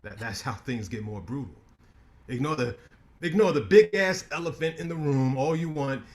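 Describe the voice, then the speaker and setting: An actor in a staged play reading, playing a sharp-tongued male lawyer who trades quick, teasing comebacks and turns grave and reflective. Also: mid-range voice, expressive, natural voice. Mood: needling, wry.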